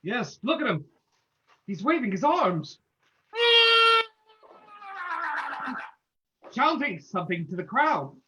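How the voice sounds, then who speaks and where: A man's voice: high voice, very animated, normal speed, pushed and bright, exaggerated voice. A man playing a stern, commanding crime-fighting hero in a dramatic old-time radio drama reading. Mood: grave. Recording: dull lo-fi sound.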